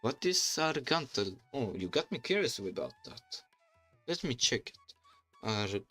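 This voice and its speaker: Halting Bulgarian-accented man streaming. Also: mid-range voice, big pitch swings, a bit bright, soft background music. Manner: rambling, halting English with frequent restarts. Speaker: streamer and YouTuber. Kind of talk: casual livestream chat. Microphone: desk microphone.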